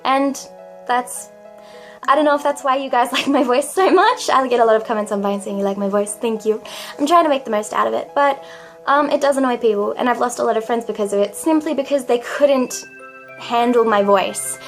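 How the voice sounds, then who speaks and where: A young woman, probably in her teens, talking to camera in a confessional vlog, with a high, girlish voice and a dramatic, self-mocking manner. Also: a little fast, soft background music. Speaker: vlogger.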